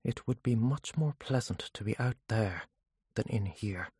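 A storyteller reading scripted fiction as an audiobook narrator, in measured, carefully phrased sentences. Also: mid-range voice, darkish tone, slightly rough, no room sound, clean studio sound.

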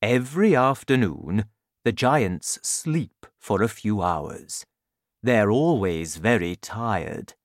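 A man voicing a big, gentle, friendly giant in a storybook reading, speaking slowly and humbly. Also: lowish voice, animated, no room sound, clean studio sound.

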